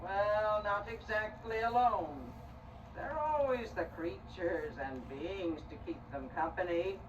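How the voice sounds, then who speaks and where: Wicked woodcutter's wife: high, scolding, selfish, melodramatic stage delivery over noticeable noise. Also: male voice, animated, slow pace. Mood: bossy, conniving. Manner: theatrical, emphatic, repetitive scolding. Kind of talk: theatrical stage storytelling. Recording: distant mic, clear background noise.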